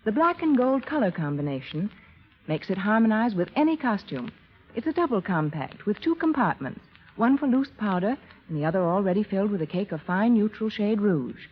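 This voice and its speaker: A woman giving a scripted product pitch in the style of a 1930s radio spokeswoman. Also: big pitch swings, fairly quick, breathy edge. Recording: dull vintage 1930s radio recording.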